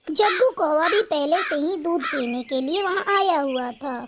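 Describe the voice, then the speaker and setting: A woman's voice: sits high, lively intonation, unhurried, a little hiss or hum. A woman narrating a scripted children's story as a storyteller.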